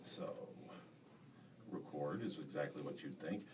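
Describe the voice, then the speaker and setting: A deep-voiced man teaching a class in a school radio studio, explaining casually with plenty of fillers. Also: a little slow. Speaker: broadcasting instructor. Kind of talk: classroom demonstration. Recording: distant mic with faint room hiss.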